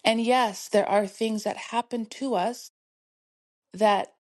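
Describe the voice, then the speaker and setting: Woman, middle-aged, podcast host, soothing, encouraging, laughs often, a bit high-pitched. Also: steady tone, slow, a little mellow. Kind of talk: motivational solo podcast talk.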